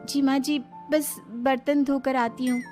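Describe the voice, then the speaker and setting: A woman voicing a naive, gentle and dutiful young village daughter-in-law in a cartoon story. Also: high-pitched, little pitch movement, measured pace, soft background music, pitched above the performer's own voice.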